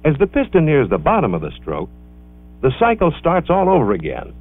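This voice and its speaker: A male narrator delivers scripted educational film narration with very animated, swooping intonation. He enunciates carefully and explains in a measured, instructional manner. The sound is thin and band-limited, like an old 16mm soundtrack, with very little room echo. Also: fairly high pitch, narrow, tinny audio.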